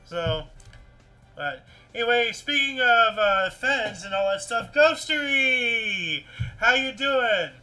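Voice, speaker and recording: A man hosting a livestream news show, commenting casually in an animated, rambling way and often repeating himself. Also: extremely high pitch, takes it slowly, faint music behind. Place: indoors at a computer with webcam.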